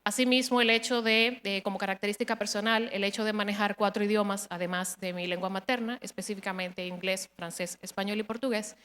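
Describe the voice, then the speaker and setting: A woman's voice: mid-range voice, fairly bright tone. Formal woman in a reverberant hall. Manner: measured, formal, frequent hesitations. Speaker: judicial candidate. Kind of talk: formal interview presentation.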